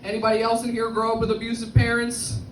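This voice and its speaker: A woman from the South Bronx with a Bronx accent and a deep, slightly cracked voice, doing a brash, crude stand-up set on a club stage. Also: completely flat delivery, quick pace, noisy background. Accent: Bronx New York. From South Bronx, New York. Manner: brash, crude, self-mocking punchlines. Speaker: stand-up comedian. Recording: echoey club, far from the mic. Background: audience laughter.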